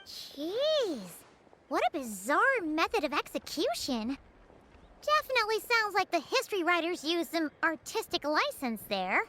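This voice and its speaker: A tiny, squeaky game companion creature, very high and animated. It blurts blunt remarks in drawn-out words, with music playing behind. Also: female voice, a little hiss or hum, full character voice. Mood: incredulous. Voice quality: squeaky, childlike. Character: small high-pitched floating companion creature, blunt and exclaiming. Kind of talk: animated video game dialogue.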